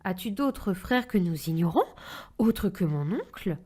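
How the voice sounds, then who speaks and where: A woman's voice: medium pitch, expressive, measured pace, warm tone, slightly rough. A woman voicing an imaginative, eager young boy full of questions in a French children's cartoon. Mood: confused questioning.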